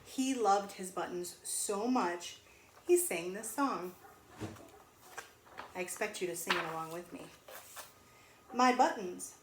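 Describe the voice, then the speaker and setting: Woman, primary teacher type, animated storybook reading with questions to the kids; unhurried, mid-pitched, in a reverberant room. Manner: sing-song, pausing to ask children questions. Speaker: teacher reading aloud to young pupils. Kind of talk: animated children's storybook read-aloud. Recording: echoey room with faint noise.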